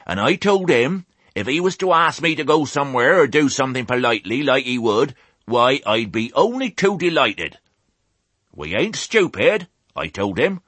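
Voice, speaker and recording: Storybook role: male reader as hoarse, croaky old ewe, rural West Country English, blunt and bossy with a kind core, lively pitch. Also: a little slow, no echo, exaggerated voice. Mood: bossy, rallying. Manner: rustic dialect, sharp and commanding. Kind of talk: audiobook character voice.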